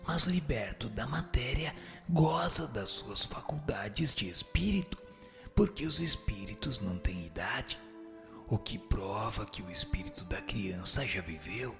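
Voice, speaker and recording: A man playing a solemn male spirit who answers questions in a formal, measured, didactic way for a scripted radio drama. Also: lowish voice, pushed and bright, exaggerated voice.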